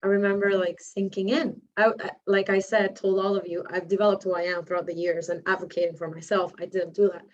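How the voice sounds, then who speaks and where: A woman's voice: medium pitch, flat delivery, a little fast, hardly any pauses, muffled recording. A woman whose first language is Spanish, speaking English with a slight accent as she tells a story on a panel and acts out the quotes. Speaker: panelist, architect.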